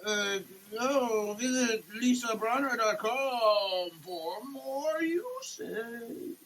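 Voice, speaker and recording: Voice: medium pitch, expressive, drawn-out words, fairly bright tone. A performer giving a comedic dramatic reading of label text, theatrical, moving between declaiming and muttered asides, choking as water soaks them. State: choking, coughing, gasping while being soaked with water. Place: shower, indoors. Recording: noisy room with running shower water.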